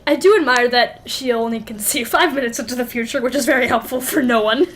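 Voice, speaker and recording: A young woman with a fairly high voice chats loosely as a podcast co-host. She is sardonic and slangy, slipping into mock quotes and laughing through her words. A faint layer of background noise is audible. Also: fast talker, fairly bright tone. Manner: laughs while talking. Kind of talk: casual chatty book discussion.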